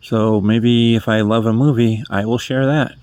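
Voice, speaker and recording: A man's voice: mid-range voice, fairly even intonation, measured pace, a little mellow, no room sound. A man over fifty from New Hampshire with a New England accent, rambling through his first book vlog, self-deprecating and correcting himself as he goes. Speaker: first-time book vlogger. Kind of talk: casual rambling monologue. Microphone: phone held in hand.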